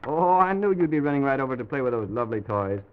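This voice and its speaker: A man hosting an old-time children's radio show, speaking gently and simply to small children as he tells a make-believe story. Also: mid-range voice, big pitch swings, fast talker, noisy background. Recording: dull old radio recording with music.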